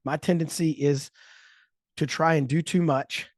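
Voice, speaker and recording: A man in his mid fifties telling a story in casual podcast chat, animated, acting out quotes and laughing often. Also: a little high, measured pace, dry recording, studio quality. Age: 50s. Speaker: podcast host.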